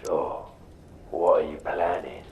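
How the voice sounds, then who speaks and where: An actor playing a cautious, mercenary underworld guide in a video game, with a gruff, gravelly, breathy voice. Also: male voice, low-pitched, fairly even intonation, takes it slowly, darkish tone, dull audio. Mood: wary. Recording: echoey, distant, faint ambient noise.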